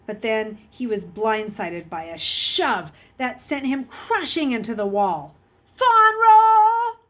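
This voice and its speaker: A woman reading a children's novel aloud as an expressive storyteller, with a husky voice. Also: medium pitch, measured pace. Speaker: audiobook reader.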